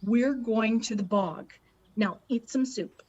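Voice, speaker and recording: A woman playing a calm, haunted mother in a radio play, soothing, earnest and cryptic, stubborn in what she believes. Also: fairly high pitch, slow, lo-fi sound, a little hiss or hum. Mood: coaxing.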